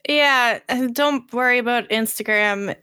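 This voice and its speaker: A woman in her twenties with a bright, fairly high voice makes wry jokes on a podcast. She talks slowly, and her voice sounds close and dry. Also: clean tone, dry recording. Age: 20s. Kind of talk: casual podcast chat.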